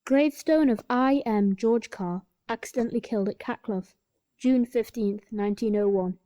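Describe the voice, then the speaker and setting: A woman's voice: a little high, normal speed, mellow, no room sound, natural voice. A woman reading Victorian school logbook entries. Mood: sober. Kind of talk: radio play reading of school log entries.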